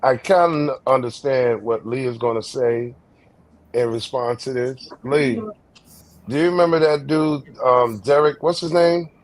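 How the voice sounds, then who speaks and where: A man's voice: mid-range voice, fairly even intonation, a little slow, poor audio. A man co-hosting a podcast, making crude jokes as he chimes in. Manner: crude, joking. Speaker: podcast co-host. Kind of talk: casual podcast debate.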